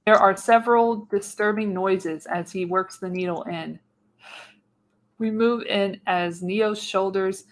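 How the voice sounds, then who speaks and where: A woman's voice: medium pitch, fairly bright tone, poor audio. A woman narrating the stage directions of a screenplay in a radio play reading. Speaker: narrator, podcast host.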